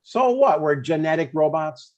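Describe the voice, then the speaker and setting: A man's voice: on the high side, animated, normal speed, close to an everyday voice. An older man playing a blunt, stubborn but affectionate father in a comedy table read, over dull stream audio. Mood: blunt, grumbling. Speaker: amateur actor.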